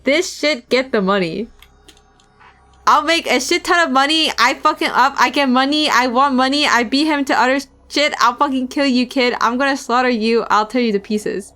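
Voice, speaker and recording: A woman's voice: sits high, normal speed, sharp tone. A woman voicing a pack of vicious young male thugs, snarling and profane in a storybook reading. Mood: greedy.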